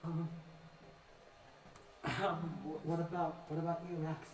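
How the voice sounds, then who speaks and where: A man's voice: a little high, completely flat delivery, a little slow, close to an everyday voice. A young man acting an anxious, awkward, hesitant student who stammers, in a stage play. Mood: nervous, rambling. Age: young adult. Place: indoor stage, recorded from afar. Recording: distant, echoey stage recording.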